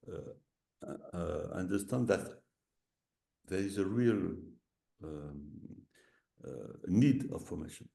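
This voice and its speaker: A man with a French accent, native French, speaking haltingly in English on a webinar, full of fillers and restarts and slipping into French. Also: sits low, vocal fry, dark tone, reverberant. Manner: hesitant, many fillers and restarts, slips into French. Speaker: visiting professor speaking on a webinar. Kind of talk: halting webinar talk in a second language.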